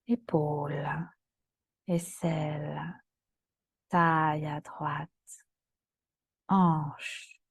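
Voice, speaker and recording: A woman yoga teacher guiding a relaxation meditation in a calm, soothing, soft voice that often turns breathy. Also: low-pitched, no room sound. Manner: calm, soothing, gentle instructions with long pauses.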